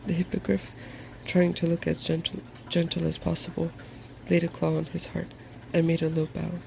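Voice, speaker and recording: A soft-spoken man reading a fairy tale as an ASMR storyteller. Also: fairly high pitch, completely flat delivery, moderate pace, gravelly, a little hiss or hum, rough recording.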